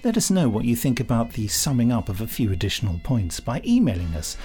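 A middle-aged British man hosts a casual podcast. His voice sits at an ordinary male pitch and he rambles in a jokey, self-mocking way. Music plays under the talk. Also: huge pitch swings, quick pace, warm tone, breathy edge. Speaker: podcast host. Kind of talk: casual chat.

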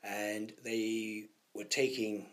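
A man musing about sound effects in an interview, sometimes dropping to hushed asides. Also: fairly low pitch, flat delivery, very slow. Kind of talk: anecdotal interview chat.

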